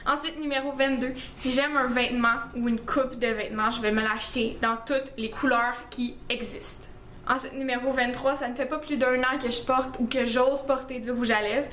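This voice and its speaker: A woman's voice: high-pitched, fairly even intonation, moderate pace, fairly bright tone, echoey room, a little hiss or hum. A young woman with a Québécois French accent, chatting through a list of facts about herself in a casual, self-mocking way with lots of fillers. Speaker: beauty vlogger. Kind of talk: casual list-style vlog.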